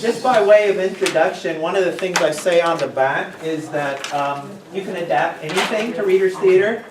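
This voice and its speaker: Middle-aged man, workshop presenter, informal, higher-pitched, recorded from far off. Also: moderate pace. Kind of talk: informal workshop talk to a group. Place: large meeting room with windows and tables. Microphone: distant camera microphone. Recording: distant, echoey, noisy room recording.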